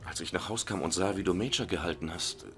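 An actor playing a playful, easygoing young husband in a dubbed sitcom, with a jokey manner. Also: male voice, deep voice, quick pace, soft background music. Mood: tender. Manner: jokey, excitable.

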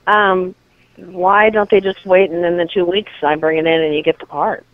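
A woman's voice: lowish voice, fairly even intonation, moderate pace, dry recording. A woman speaks over a thin, narrow phone connection. She talks casually but hesitantly, often questioning what she is told. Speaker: phone call recipient. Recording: narrow, tinny phone line.

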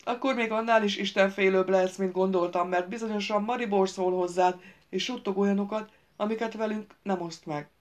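A woman's voice: on the low side, a little mellow, lightly acted. A woman voicing a complaining, agitated young man who whines and accuses the others, in an audiobook. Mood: indignant whining. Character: whiny indignant young man, a rabble-rouser. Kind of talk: audiobook character voice.

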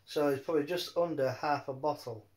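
A man chatting casually in a rambling, halting way, often repeating his words. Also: fairly high pitch, a little mellow, rough recording. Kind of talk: rambling casual phone chat.

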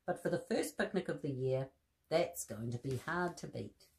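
A woman's voice: rumbling low, animated, moderate pace, creaky, heavily acted. A woman voicing a kindly old male teddy bear in a storybook reading. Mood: cheerful.

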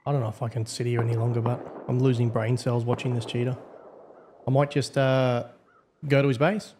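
A man's voice: medium pitch, flat delivery, a little fast, noisy background. A man giving casual, slangy, wry gameplay commentary. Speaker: host, game server admin.

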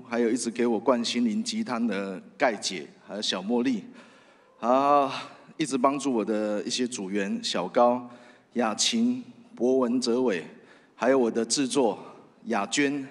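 Man, Taiwanese accent, thank-you speech at stand mic, earnest, big echoing theatre, medium pitch. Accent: Taiwanese Mandarin. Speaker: radio host, award winner. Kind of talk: award acceptance speech, partly read from phone. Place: large theatre stage at awards ceremony. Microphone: stand microphone, close. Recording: big hall reverb over PA.

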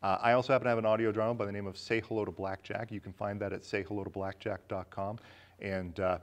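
Low-pitched man hosting a casual film chat. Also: little pitch movement, fast. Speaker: talk show host. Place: small indoor studio set with curtains.

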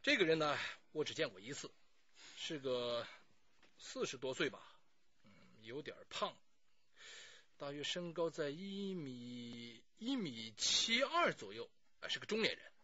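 A man's voice: on the high side, big pitch swings, a little slow, sharp tone, dry recording, highs cut off, exaggerated voice. An actor playing a talkative, self-justifying middle-aged man under police questioning in a radio drama, pleading and sighing as he explains. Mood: explaining.

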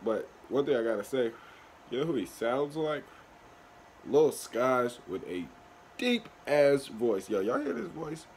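A man's voice: medium pitch, sing-song, measured pace, darkish tone, slight background noise. A young man hosting a music reaction video from a small bedroom, chatting loosely in slang into his webcam. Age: young adult. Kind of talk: casual reaction commentary.

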